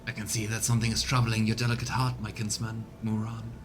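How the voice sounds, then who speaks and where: A man voicing a gentle, courtly alien elf seer from a game, with measured, formal, archaic speech. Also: on the low side, monotone, lightly acted. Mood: serene. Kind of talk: voiced reading of game dialogue. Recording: music behind the voice.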